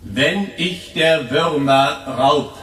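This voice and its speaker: A solemn atheist calling out from far off in an echoing, empty church. His voice is bright and forceful, extremely slow and lamenting, as in a declamatory radio drama. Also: on the high side. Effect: church reverberation. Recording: echoey, distant, noisy church acoustic.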